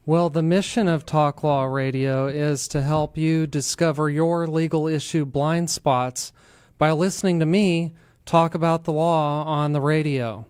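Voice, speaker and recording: A man's voice: on the high side, fairly even intonation, a little slow, a little mellow, clear, resonant tone, no room sound. An American man hosting a talk radio show, explaining things to listeners. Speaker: radio show host, attorney.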